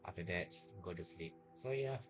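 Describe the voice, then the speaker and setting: A man doing casual livestream game commentary in mumbled, halting short phrases. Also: sits low, lively intonation. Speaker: game streamer. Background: video game music.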